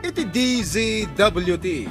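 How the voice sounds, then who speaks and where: A man's voice: high-pitched, very animated, takes it slowly, over music. A man announcing a radio drama program with a scripted introduction.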